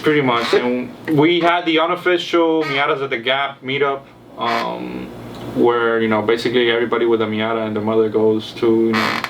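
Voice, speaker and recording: A man's voice: medium pitch, normal speed, a bit bright. A young man from Cuba casually telling a road-trip story, rambling and repeating words as he acts out what people said. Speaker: car vlog host. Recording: distant mic with faint noise.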